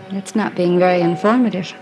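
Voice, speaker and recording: Actress as a wistful young radio-romance heroine. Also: female voice, fairly low pitch, normal speed, over music, lightly acted, rough recording. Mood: dreamy, romantic. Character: soft-hearted, romantic young lady's companion under suspicion. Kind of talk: 1950s radio drama acting. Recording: old radio drama recording.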